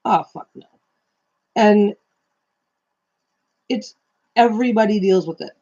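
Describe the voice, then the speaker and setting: A woman's voice: medium pitch, slow pace, dark tone, highs cut off. A woman co-hosting a casual live stream chat, rambling through thoughts and swearing casually in her carrying voice. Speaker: co-host.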